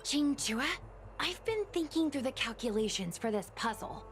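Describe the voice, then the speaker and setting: A woman's voice: high voice, lively intonation, normal speed, soft background music. A woman voicing an earnest, supportive young female companion in a video game. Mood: thoughtful. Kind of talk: game cutscene acting.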